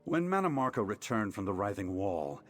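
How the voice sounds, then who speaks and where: Expressive, brooding scholar-mage. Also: male voice, lowish voice, moderate pace. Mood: pondering. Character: brisk, brooding male scholarly mage, impatient and analytical. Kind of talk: video game character acting. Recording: music behind the voice.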